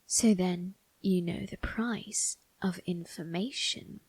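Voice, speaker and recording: A woman voicing an ancient male undertaker in an ASMR roleplay, sly and teasing, with a breathy, husky, creaking voice and formal phrasing. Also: lowish voice, darkish tone, no room sound, full character voice. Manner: slow, formal, teasing delivery with drawn-out tag questions.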